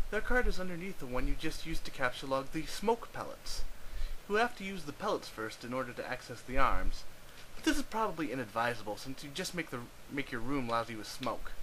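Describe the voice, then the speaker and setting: A man's voice: medium pitch, big pitch swings, fairly quick, pushed and bright, slight background noise, poor audio. A man narrating a scripted story, reading it aloud.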